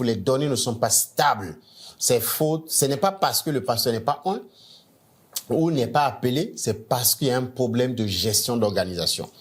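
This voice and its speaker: A middle-aged man from Nigeria, a native English speaker, giving a measured teaching talk as a church management coach, often restarting and stressing key words. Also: mid-range voice.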